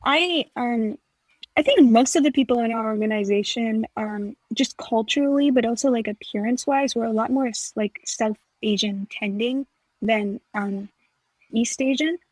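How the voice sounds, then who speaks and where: A woman's voice: fairly high pitch, normal speed, no echo, rough recording. A young woman co-hosting a podcast, chatting casually with lots of fillers and acting out remembered conversations. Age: young adult. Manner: chatty, filler-heavy, acts out quoted exchanges. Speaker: podcast co-host. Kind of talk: casual chat.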